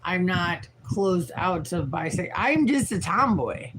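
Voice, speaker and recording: A tired woman in her forties with a raspy voice, rambling frankly and swearing as she chats on a livestream. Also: on the low side, big pitch swings, takes it slowly, noisy background. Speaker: livestream host. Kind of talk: casual livestream chat.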